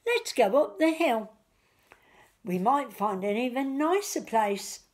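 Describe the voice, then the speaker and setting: A friendly, slightly fussy picture-book duck, as a woman reads it aloud. It speaks in a high, sing-song voice. Each phrase is emphatic and unhurried. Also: sing-song, exaggerated voice.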